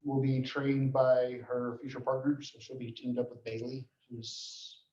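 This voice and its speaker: Male, mid-pitched, informal meeting reporter with halting delivery, dull echoey room sound. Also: flat delivery, a little mellow. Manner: halting, frequent throat clearing. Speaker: dispatch director reporting to board.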